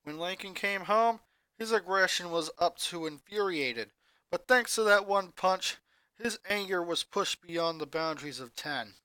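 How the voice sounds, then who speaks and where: A man's voice: high-pitched, a little slow, bright, forceful tone, smooth, no room sound, poor audio. A man dramatically reading a fanfic aloud and narrating the action. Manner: dramatic, building intensity. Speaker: fanfic reader.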